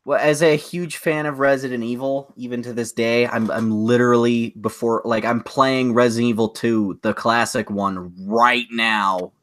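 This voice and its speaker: A man co-hosting a podcast, chatting casually and giving opinions with a mock-exasperated, animated way of talking. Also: medium pitch, normal speed, hardly any pauses, no echo. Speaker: podcast co-host. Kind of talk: casual opinionated chat about movies and games.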